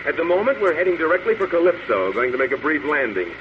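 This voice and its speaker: A man's voice: on the high side, sing-song, quick pace. An actor playing a smug, crooked space policeman who taunts with mock friendliness in an old-time radio drama. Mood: amused, gloating. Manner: taunting, mock-friendly, savors his words. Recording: muffled noisy old radio recording.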